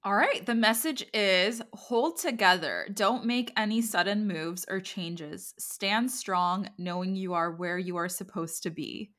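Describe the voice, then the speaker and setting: A woman with a medium-pitched voice speaks as a podcast co-host, moving between casual chat and reading out tarot cards. Her manner is informal and encouraging. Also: a bit bright.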